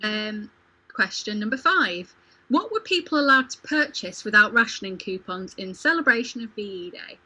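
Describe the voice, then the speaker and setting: Bright-voiced woman as playful quizmaster. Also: mid-range voice, expressive, normal speed, husky, low-quality recording. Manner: playful, jokey. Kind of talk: casual livestream quiz hosting. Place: home video call.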